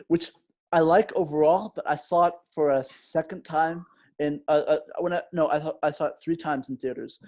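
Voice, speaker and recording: A man's voice: a little high, darkish tone. A young man co-hosting a casual film podcast, joking and teasing his co-host, with hesitant fillers.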